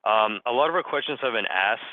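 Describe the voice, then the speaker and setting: A man's voice: mid-range voice, animated, fairly quick. A male financial analyst politely asking questions on a conference call. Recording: narrow phone line.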